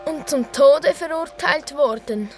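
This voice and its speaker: An actress playing a formal, measured announcer reading a court verdict in a solemn radio drama. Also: female voice, sits high, over music. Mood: solemn, official. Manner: measured, official. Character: formal female announcer reading out a 1938 death sentence.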